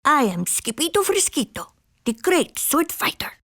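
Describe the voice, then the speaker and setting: A woman's voice: high-pitched, sing-song, normal speed, fairly bright tone, no room sound, studio quality, pitched above the performer's own voice, heavily acted. A woman voicing a playful, boastful little boy kitten who plays at being a chihuahua with a theatrical put-on Spanish accent. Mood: proud.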